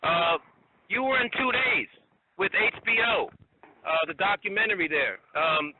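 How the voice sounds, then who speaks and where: A man working as a press interviewer, asking questions in a halting, repetitive way on a conference call. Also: a little high, expressive, a little hiss or hum. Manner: halting, repeats words while forming questions. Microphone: telephone conference call. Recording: muffled tinny phone line.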